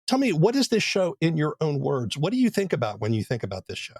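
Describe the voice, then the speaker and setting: Host, adult man, animated intonation, dry close sound, curious questions. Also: on the high side, quick pace, husky, no echo. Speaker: interviewer. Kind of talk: podcast interview questions.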